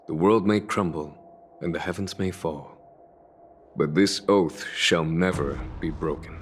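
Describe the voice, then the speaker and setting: An actor playing a composed, courteous military general in a fantasy game, speaking in formal, measured phrases. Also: male voice, low voice, slight background noise. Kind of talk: scripted game voice acting. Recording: background music.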